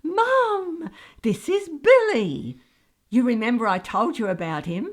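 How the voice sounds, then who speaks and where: Woman acting a middle-aged daughter in radio soap, theatrical, gushing, high-voiced, very animated. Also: slow pace, exaggerated voice. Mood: exasperated.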